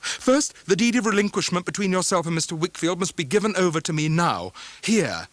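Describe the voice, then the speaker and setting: Firm, practical young lawyer, read by a man, measured and bright. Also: high-pitched, sing-song, dry recording, pitched above the performer's own voice.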